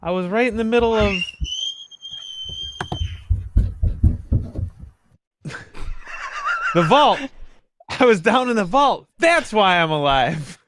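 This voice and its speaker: A man playing a dazed, frightened survivor of an explosion in a radio play, speaking in halting, broken phrases. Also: high voice, lively intonation, a bit bright. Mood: frantic realization. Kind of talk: radio play acting at an online table read. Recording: noisy home mic with clicks.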